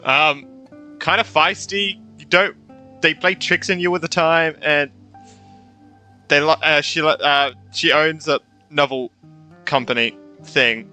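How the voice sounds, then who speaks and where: A man's voice: a little high, a little slow, long pauses, pushed and bright, faint music behind. A young man doing casual let's play commentary, slangy and thinking aloud as he reacts to a game's story. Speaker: gamer playing through a story quest.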